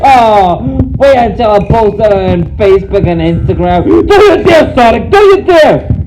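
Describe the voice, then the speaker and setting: A man's voice: high voice, sing-song, unhurried, voice pushed down. A man voicing a cocky male hedgehog who mocks and laughs at his friend. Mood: mocking. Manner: mocking, laughing, gleeful. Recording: loud noisy room, sounds from off-mic.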